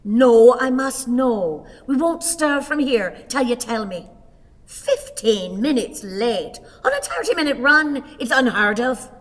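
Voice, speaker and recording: An actress playing a fretful, long-suffering old wife in a radio play, fussing and pleading in a theatrical way. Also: female voice, on the high side, sing-song, takes it slowly, exaggerated voice. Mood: insistent, anxious. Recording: reverberant hall.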